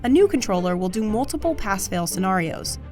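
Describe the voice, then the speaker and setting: A woman's voice: mid-range voice, sing-song, brisk, music in the background. An American woman reading scripted documentary narration as the narrator of a news feature.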